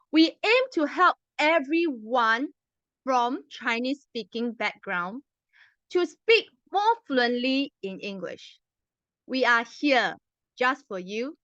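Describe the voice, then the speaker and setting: A woman's voice: high-pitched, sharp tone, no echo. A young woman with a Mandarin accent, a non-native English speaker, opening a club meeting with a rehearsed speech full of emphatic rhetorical questions. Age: young adult. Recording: dull lo-fi dry sound.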